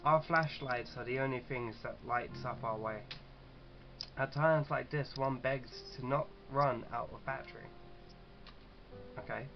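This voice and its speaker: A man casually hosting a let's-play, chatting and reading game text aloud in a halting, stumbling way. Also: medium pitch, fairly even intonation, faint music behind. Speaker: gaming channel host. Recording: dull lo-fi mic with clear background noise.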